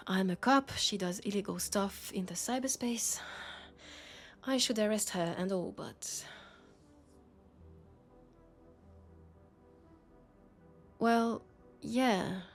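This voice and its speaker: A woman with a French accent playing a weary, guarded policewoman confiding quietly and hesitantly in an intimate sci-fi audio roleplay. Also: mid-range voice. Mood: reluctant, confessing.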